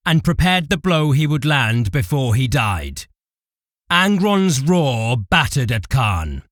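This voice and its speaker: Man, dramatic audio drama narrator, theatrical, animated pitch, dry studio sound. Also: no echo.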